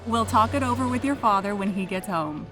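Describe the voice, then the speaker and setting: A woman's voice: mid-range voice, lively intonation, fairly quick, over loud music, close to an everyday voice. A woman playing a firm, caring mother in a children's comic read-aloud.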